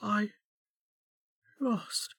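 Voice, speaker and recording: A man voicing a distraught, disoriented warrior woman in an audiobook, with a halting, gasping, strained delivery. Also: extremely high pitch, a bit bright, breathy edge, no echo, studio quality, pitched above the performer's own voice, heavily acted. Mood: despairing. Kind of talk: audiobook character acting.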